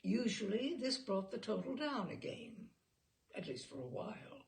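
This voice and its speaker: Woman reading an old humorous story, really animated pitch, bit of creak in the voice. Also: normal speed, a little hiss or hum. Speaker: storyteller reading aloud to an audience. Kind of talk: literary storytelling with informal introduction.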